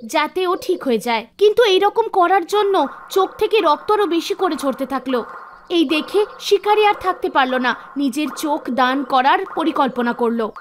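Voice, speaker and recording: A woman's voice: high-pitched, slight background noise. A woman reading scripted narration for a devotional cartoon story. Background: background music.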